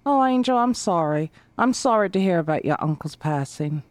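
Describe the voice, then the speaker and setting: A woman playing a sympathetic acquaintance offering condolences in a gentle, hesitant, consoling manner. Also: fairly low pitch, expressive, normal speed, no room sound, natural voice.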